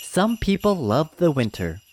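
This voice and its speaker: A storybook reader giving scripted children's narration in an expressive, measured rhyming rhythm. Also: mid-range voice, slight background noise. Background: background music.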